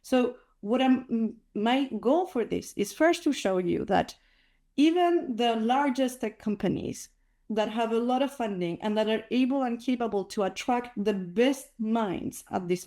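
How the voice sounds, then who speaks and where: A woman's voice: a little high, moderate pace, mellow. A woman from Chile giving a conversational conference talk, full of "like" and "uh" fillers. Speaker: invited speaker.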